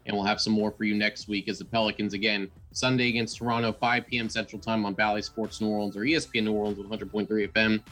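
A man's voice: on the low side, little pitch movement, fast, hardly any pauses, a bit bright, soft background music. A man hosting a sports podcast in an upbeat, enthusiastic and conversational way.

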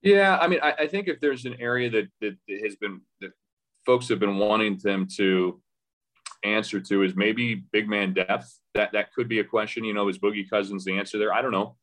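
Low deep guy voice, casual sports chat, thinks out loud and restarts a lot. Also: moderate pace. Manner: hesitant, conversational, thinking aloud with false starts. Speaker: radio play-by-play announcer as podcast guest. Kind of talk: casual sports interview talk.

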